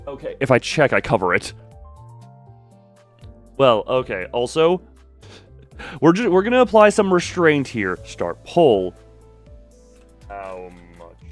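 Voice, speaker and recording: A man's voice: medium pitch, huge pitch swings, slow, pauses often, faint music behind. A man doing a casual, rambling game stream, playfully joking and talking back to his viewers.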